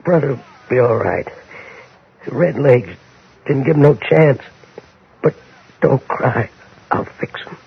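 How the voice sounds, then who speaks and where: Dying frontier gunman son, halting, creaky, breathy, rural Western accent, vintage radio drama, dull sound. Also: medium pitch, lively intonation, exaggerated voice. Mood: faint, vengeful. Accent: rural American West. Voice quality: weak, breathy, faltering. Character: dying young outlaw son, feeble and vengeful. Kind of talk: 1950s radio drama acting. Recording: dull, faintly noisy old radio recording.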